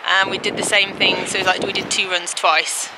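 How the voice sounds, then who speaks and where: A woman's voice: mid-range voice, flat delivery, a little fast. Casting: woman, thirties, casual trail vlogger, informal and rambling, heavy wind noise on a handheld camera. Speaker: hiking vlogger. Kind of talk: casual vlog recap chat. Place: outdoors on a mountain desert trail. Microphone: handheld camera at arm's length. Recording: windy outdoor camera audio, loud noise. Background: loud wind noise.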